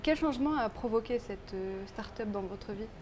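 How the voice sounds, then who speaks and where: Woman interviewer, formal, polite, slightly high, faint background hiss. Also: expressive, moderate pace, a little mellow. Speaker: interviewer, host. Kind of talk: scripted interview questions.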